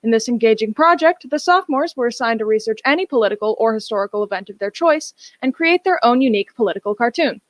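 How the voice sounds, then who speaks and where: A woman's voice: fairly high pitch, animated, quick pace, few pauses, dry recording. A young woman giving a scripted announcement as host of an old-time style radio show.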